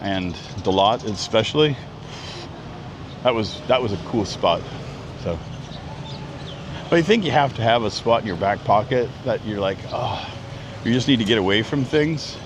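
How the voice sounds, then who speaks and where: A man's voice: on the low side, expressive, normal speed, long pauses. A man in his fifties from Canada, chatting casually on a live travel vlog, rambling with lots of ums and restarts. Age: 50s. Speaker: travel vlogger. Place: outdoors at a busy market street. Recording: street traffic noise outdoors. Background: traffic and street noise.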